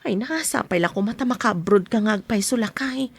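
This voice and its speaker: A woman's voice: mid-range voice, a little fast, husky. A woman playing a caring, money-worried wife and mother in a podcast drama. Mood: gentle. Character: caring wife and mother, anxious about money, soft-spoken.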